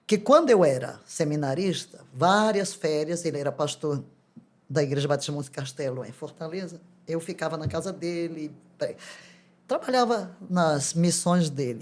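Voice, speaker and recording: An older Brazilian woman with a Brazilian Portuguese accent tells her testimony as a podcast guest. She speaks with lively energy and laughs often. She also acts out the words of the people in her stories. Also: lowish voice. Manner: animated, laughs often, acts out quoted dialogue. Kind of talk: testimony storytelling.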